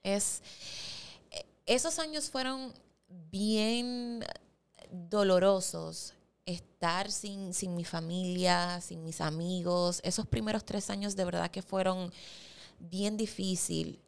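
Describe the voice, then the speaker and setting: A woman from the Dominican Republic with a Dominican Spanish accent, interviewing in a reflective, deliberate way. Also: medium pitch, vocal fry, clean tone. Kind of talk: casual interview.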